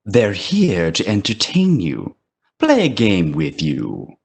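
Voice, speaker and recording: Man playing a sinister, swooping, low-voiced toymaker villain. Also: huge pitch swings, takes it slowly, vocal fry, darkish tone, full character voice. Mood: smug menace. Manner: theatrical, mock-courteous, taunting. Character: sinister, playful immortal male toymaker villain. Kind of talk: audio drama acting.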